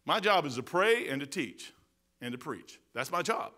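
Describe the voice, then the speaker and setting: Emphatic high-voiced preacher man. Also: big pitch swings, normal speed, sharp tone. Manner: emphatic, conversational preaching with repetitions and restarts. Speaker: pastor. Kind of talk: sermon. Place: church sanctuary, indoors. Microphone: pulpit microphone, far camera.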